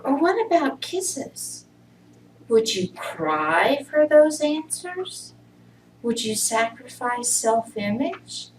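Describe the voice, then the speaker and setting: A woman reciting poetry at a church service in a measured, deliberate way. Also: on the high side. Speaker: congregation member reading inmates' poetry. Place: church service, indoor room. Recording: roomy, noisy pickup far from mic.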